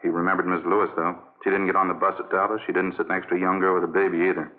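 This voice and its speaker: Very fast-talking actor as police detective. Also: male voice, sits low, level tone, lightly acted. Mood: brisk reporting. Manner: clipped, matter-of-fact. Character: brisk, plainspoken male police detective partner. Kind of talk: 1950s radio police drama acting. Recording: muffled, narrow old radio broadcast.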